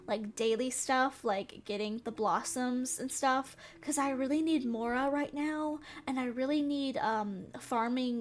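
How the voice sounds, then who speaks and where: A young woman from the American South with a Southern accent, chatting playfully and giggling with her viewers while she plays a game. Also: high voice. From Southern United States. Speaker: streamer. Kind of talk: casual chat while gaming.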